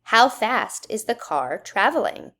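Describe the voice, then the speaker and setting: Woman reading aloud with lively, swooping pitch. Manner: measured, instructional, poses questions to young listeners. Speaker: educator reading a children's book aloud. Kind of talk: children's read-aloud narration.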